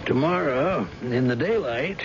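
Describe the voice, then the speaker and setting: An actor playing a patient, methodical police detective, explaining in a measured, fatherly way in a radio drama. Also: mid-range voice, expressive. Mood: patient, instructive. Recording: muffled, noisy old radio broadcast.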